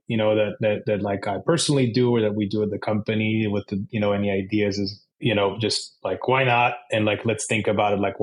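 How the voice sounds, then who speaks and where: A man with an Israeli accent giving casual interview answers as a guest, in halting, loosely phrased speech. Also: fairly low pitch. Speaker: interview guest, bike shop owner.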